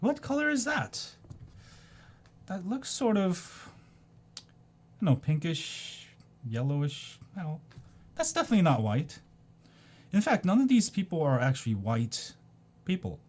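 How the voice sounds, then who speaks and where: A man's voice: high voice, wildly swooping pitch, unhurried, long pauses. A man in his forties, raised in South Korea, casually explaining things over a screen share in a rambling way, with lots of ums and restarts. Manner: rambling, many ums and restarts, repeats "go ahead and". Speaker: video creator.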